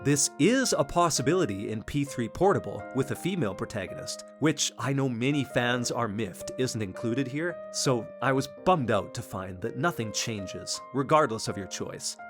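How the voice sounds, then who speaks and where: A man's voice: mid-range voice, lively intonation, moderate pace. A man giving earnest, scripted video essay narration about games in polished phrasing. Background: background music.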